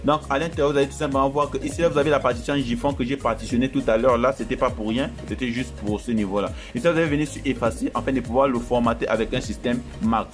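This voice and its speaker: A man narrates a screen tutorial, talking casually and reassuring his viewers as friends. French is not his first language. His voice sits at an ordinary middle pitch, and loud background music runs under the whole recording. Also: steady tone, fast talker, few pauses. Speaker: tech tutorial host.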